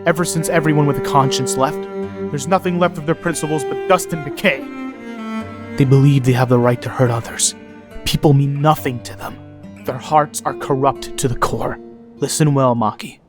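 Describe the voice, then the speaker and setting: A man's voice: mid-range voice, fairly even intonation, normal speed, over music, natural voice. A man playing a bitter, commanding father of a wealthy family in an anime radio play. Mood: stern, bitter. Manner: grave, commanding.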